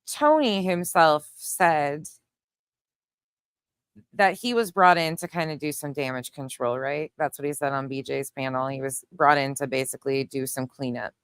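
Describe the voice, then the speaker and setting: Woman, lower voice, dry close sound, commentary host, emphatic, argumentative. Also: normal speed, clear, resonant tone, no room sound. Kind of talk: opinionated online case commentary.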